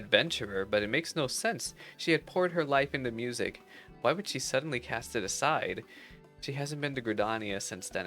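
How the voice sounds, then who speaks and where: A man's voice: medium pitch, sharp tone. A man reading aloud the game dialogue of a proud, earnest female cat-girl musician with a defensive streak. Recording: music behind the voice.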